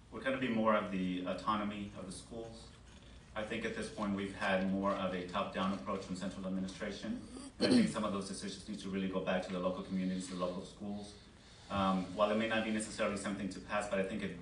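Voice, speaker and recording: A man answers a forum question in a deep, flat voice, unscripted. He is heard from a distance across an echoey board room. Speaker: school board candidate. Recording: echoey boardroom from far off, faint noise.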